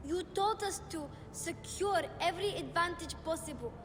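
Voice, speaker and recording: A woman's voice: very high-pitched, normal speed, noisy background. A woman playing a bold, playful young child who adores an uncle, in a video game. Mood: cheeky. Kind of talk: video game dramatic acting.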